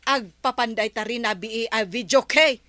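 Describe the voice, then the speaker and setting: A woman's voice: a little high, moderate pace, a bit bright, dry recording, lightly acted. A woman playing a stubborn village councilor in a comedy radio drama, arguing her point insistently. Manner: argumentative, insistent.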